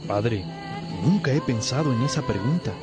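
A man's voice: medium pitch, animated, normal speed, close to an everyday voice. An actor playing a lovesick young man, a respectful pupil who speaks earnestly and hesitantly in a religious radio drama. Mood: puzzled. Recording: music behind the voice.

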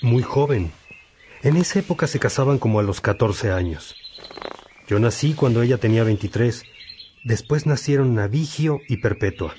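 A man playing a priest fondly and reflectively recalling his parents in a radio drama, speaking in a measured way. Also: medium pitch, lively intonation, warm tone, close to an everyday voice. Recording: faint noise, birdsong ambience. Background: birdsong.

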